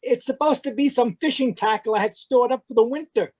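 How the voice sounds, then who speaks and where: A woman's voice: on the high side, fairly quick, darkish tone, close to an everyday voice. A woman playing an anxious husband protesting his innocence in old-time radio mystery style. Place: live video call at home. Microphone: video call.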